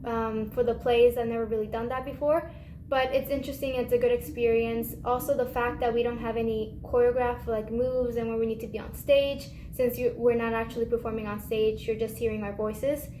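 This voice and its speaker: A teenage girl answers casually in an interview. Her voice is high but mellow, with little movement in pitch. An echoey room surrounds it. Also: little pitch movement, fairly quick. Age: teens. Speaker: student performer. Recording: roomy echo, faint noise.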